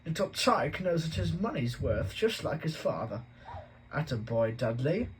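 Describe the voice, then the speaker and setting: A gruff middle-aged uncle who barks short orders and boasts loudly, put on by a male reader. His voice is a little raised and slow, over a faint noise floor. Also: on the high side, very animated, voice pushed up, low-quality recording. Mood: proud. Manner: barking.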